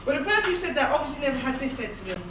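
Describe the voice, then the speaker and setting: A woman delivering dry deadpan stand-up. Her high voice moves around a lot. She is recorded from afar in an echoey room under heavy noise. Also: racing, recorded from a distance. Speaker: stand-up comedian.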